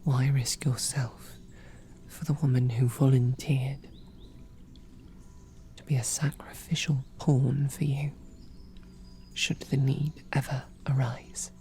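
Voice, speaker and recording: A woman voicing a devoted, protective female royal knight in a whispered audio roleplay, with soft, earnest questioning. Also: extremely low pitch, flat delivery, takes it slowly, pauses often, a little hiss or hum, full character voice. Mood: earnest, pleading.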